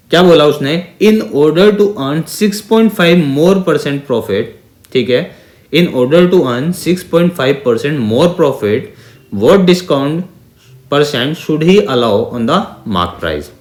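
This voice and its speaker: An Indian man with a medium-pitched voice gives an exam-prep maths lesson. He works through each step didactically, repeating phrases and posing quick check-in questions. He switches between Hindi and English terms, and the sound is noticeably echoey. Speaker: maths teacher. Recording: echoey, reverberant room sound.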